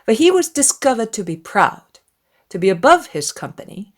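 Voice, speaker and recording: A woman reading a dramatized literary audiobook in an expressive, measured storytelling manner. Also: on the high side. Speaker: audiobook narrator.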